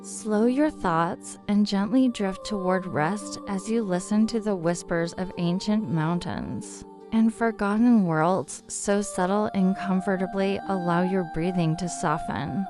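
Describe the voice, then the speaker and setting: Calm woman narrating over clear background music. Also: mid-range voice. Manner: calm, soothing, unhurried. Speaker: sleep story narrator. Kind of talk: guided meditation sleep story narration.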